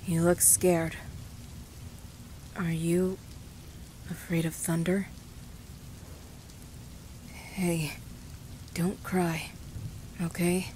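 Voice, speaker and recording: A woman voicing a guarded but caring young boy in an audio roleplay, speaking softly and reassuringly to the listener. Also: very slow, pauses often, a little hiss or hum. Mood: soft, comforting. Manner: low-key, flat, gently reassuring. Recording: steady rain and thunder behind voice. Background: rain and thunder.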